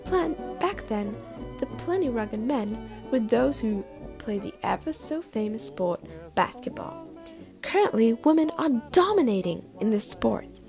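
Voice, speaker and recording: A woman's voice: a little high, sing-song, a little slow, music in the background. A woman playing an earnest 1920s Canadian radio commentator, reading a formal, declamatory speech about her times. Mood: earnest, proud.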